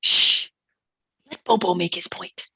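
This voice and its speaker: A woman's voice: fairly low pitch, steady tone, slow pace, creaky, pushed and bright, husky, no room sound, exaggerated voice. A woman reading a stubborn, dismissive beach-town mayor in a musical comedy table read, glib and insistent.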